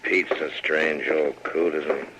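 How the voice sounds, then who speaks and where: An actor playing a calm, easygoing American frontier marshal, plain-spoken and authoritative, in an old-time radio western. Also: male voice, extremely low pitch, slow pace. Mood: curious, businesslike. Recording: faintly noisy vintage radio recording.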